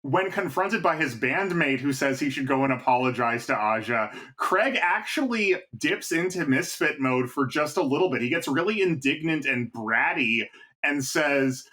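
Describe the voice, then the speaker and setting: A man's voice: a little high, lively intonation, normal speed, sharp tone. A man co-hosting a podcast, retelling a plot in casual storytelling style. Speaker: podcast co-host.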